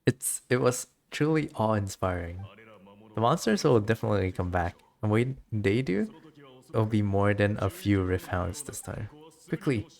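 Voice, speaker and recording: A man's voice: lowish voice, a little mellow, natural voice. A man voicing a loyal, steadfast samurai soldier from a game, earnest and formal.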